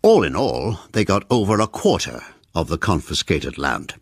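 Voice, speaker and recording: A man giving scripted documentary narration in a steady, explanatory manner. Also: low-pitched, normal speed, breathy edge, dry recording, studio quality.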